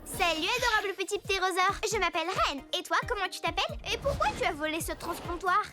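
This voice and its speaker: Very high, bubbly little superhero girl. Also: lively intonation, fast. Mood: playful, curious. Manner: exuberant, gushing, sweet. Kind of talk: French cartoon dub acting. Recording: cartoon soundtrack music behind voice. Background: children's music.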